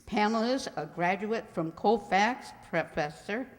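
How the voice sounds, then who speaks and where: A woman's voice: medium pitch, unhurried, natural voice. Fluttery, gushing librarian, acted by a woman in a hall. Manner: flustered, stammering, excitable. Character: fluttery, gushing spinster college librarian, Miss Twig. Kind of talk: radio play acting. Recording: reverberant hall.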